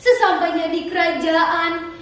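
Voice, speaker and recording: High-voiced teenage girl storyteller, very echoey. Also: measured pace, fairly bright tone. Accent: Indonesian, Central Kalimantan. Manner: dramatic, declamatory. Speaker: storytelling contestant. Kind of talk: theatrical folktale storytelling. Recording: distant echoey room with faint noise.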